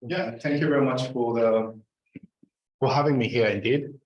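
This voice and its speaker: A middle-aged man from Palestine with a Palestinian Arabic accent, giving an informal academic talk in a halting, conversational way. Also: mid-range voice, steady tone. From Gaza, Palestine. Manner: conversational, halting, frequently checks in with "right?" and "like". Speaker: professor, invited speaker. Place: indoor lecture room. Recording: very echoey room, distant muffled mic.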